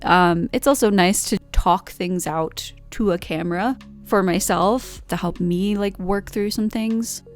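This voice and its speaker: A woman's voice: mid-range voice, unhurried, hardly any pauses, soft background music. A woman in her twenties from the United States, talking candidly and rambling through a reflective vlog monologue with lots of fillers. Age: 20s. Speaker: art vlogger.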